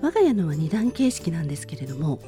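A middle-aged woman hosts a radio program in a soft, mellow voice. She talks alone in polite, gentle phrasing, sharing small stories. Faint music plays behind her. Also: low voice, sing-song, fast talker. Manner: polite, formal phrasing with personal anecdotes. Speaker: radio show host. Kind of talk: gentle monologue radio talk.

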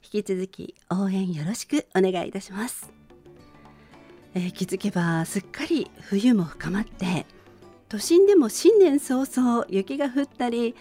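Lively woman hosting bedtime radio. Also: medium pitch, dry recording. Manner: polite, listener-addressing, conversational. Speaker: radio show host and band vocalist. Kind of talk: gentle bedtime radio chat.

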